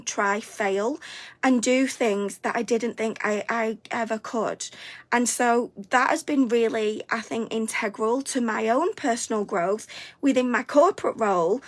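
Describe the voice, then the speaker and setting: A woman, hosting a live stream, a little hoarse and congested from a cold, chatty and upbeat, sometimes stumbling over her words. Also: a little high. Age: 40s. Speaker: host of a weekly live stream. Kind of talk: live stream chat to viewers.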